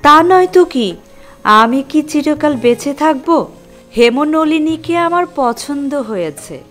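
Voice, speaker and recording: Practical, insistent mother played by a woman, matter-of-fact scolding, high animated pitch, radio drama over faint music. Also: takes it slowly, full character voice. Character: firm, practical ageing mother arranging her son's marriage. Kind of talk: Bengali audio drama acting.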